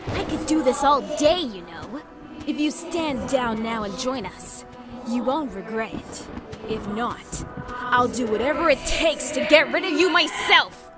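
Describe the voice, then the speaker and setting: A cruel, taunting girl villain, as a woman plays her, speaking slowly in a fairly high voice with a sneer in it. She mocks her foe in an audio drama, over loud music. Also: animated. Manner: mocking, taunting. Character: cruel taunting female apprentice of despair. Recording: heavy music score behind.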